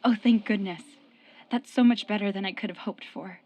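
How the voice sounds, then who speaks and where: An actress in a radio drama playing a loyal, forgiving young sweetheart with a firm streak. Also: female voice, medium pitch, fairly even intonation, moderate pace, a little mellow, natural voice. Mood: relieved, worried.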